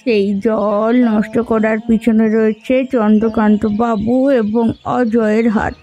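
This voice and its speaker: A woman voicing a weary, gossipy old village granny in a cartoon, drawing her words out slowly. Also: on the high side, flat delivery, warm tone, lo-fi sound, lightly acted. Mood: confiding. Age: older. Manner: slow, drawn-out, complaining. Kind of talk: Bengali fairy-tale cartoon dubbing. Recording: music bed under the voice.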